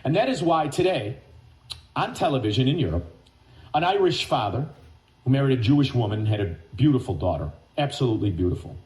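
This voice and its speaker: A man's voice: mid-range voice, big pitch swings, a little fast, reverberant, rough recording. Ranting, mocking male talk radio host. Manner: mocking, name-calling, shouty bursts. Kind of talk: angry talk radio rant.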